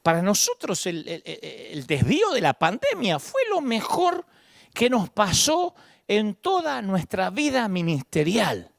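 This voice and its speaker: A middle-aged man with a Buenos Aires Argentine accent, preaching a sermon as pastor, expressive and emphatic, swinging between high peaks and low asides. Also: a little slow, vocal fry, no echo, studio quality. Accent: Argentine Spanish, Buenos Aires.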